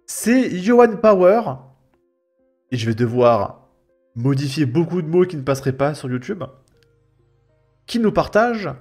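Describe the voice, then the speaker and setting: Higher-voiced French man commenting, music behind. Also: darkish tone. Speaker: host of a reaction video channel. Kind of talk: casual spoken commentary on a video. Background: background music.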